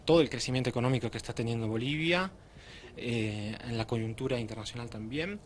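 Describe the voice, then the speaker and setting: A man's voice: mid-range voice, fairly quick. A young man answering interview questions in a plain-spoken way with many fillers. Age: young adult. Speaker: interviewee, youth group member. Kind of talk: group interview.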